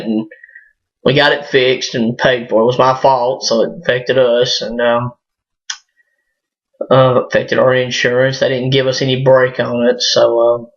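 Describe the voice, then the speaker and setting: A hoarse man, his voice cracking a little, talks straight to camera in a loose and halting way, full of fillers and restarts. His pitch sits mid-range for a man. The sound is dull and lo-fi, picked up by the device's built-in mic. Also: fairly bright tone. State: hoarse, voice cracking from a sinus illness. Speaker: vlogger. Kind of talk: casual personal vlog monologue. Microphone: built-in device mic, no external microphone.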